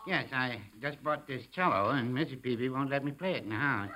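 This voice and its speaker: An actor playing a mild, unflappable small-town man in 1940s radio comedy, with a folksy, understated, deadpan manner. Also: medium pitch, fairly quick, lightly acted. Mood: mild, matter-of-fact. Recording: old noisy radio broadcast.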